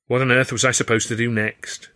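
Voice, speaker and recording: An English man reading a first-person story aloud in a wry, literary, expressive storytelling manner. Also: mid-range voice, fairly quick, faint music behind. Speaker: author and audiobook narrator.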